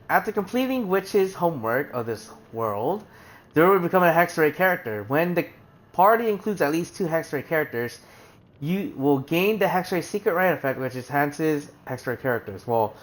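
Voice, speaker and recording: A man streaming a video game, reading menu text aloud and chatting casually with many fillers and restarts. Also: on the high side.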